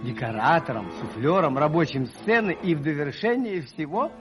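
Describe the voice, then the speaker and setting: An actor playing an expressive gentleman storyteller, narrating in a literary manner for a radio play. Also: a little high, moderate pace, natural voice. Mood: amused wonder. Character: animated gentleman storyteller recalling events. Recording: old radio play with loud music.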